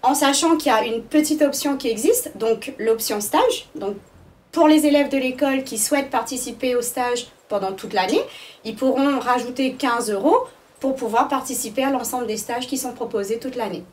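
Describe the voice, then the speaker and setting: A woman's voice: on the high side, moderate pace, recorded from a distance. A woman answering interview questions as the director of an arts school, explaining things phrase by phrase with hesitations.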